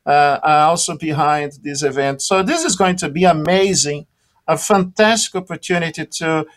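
Middle-aged Brazilian-accented man, raised pitch, eager halting radio guest. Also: a bit bright. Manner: enthusiastic, halting. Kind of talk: radio interview.

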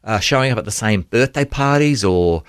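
An Australian man in his forties talks through his past in a casual interview, full of jokey asides. His voice sits a little low. The sound is dry and close. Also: animated, normal speed, clean tone, dry recording. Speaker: guest musician.

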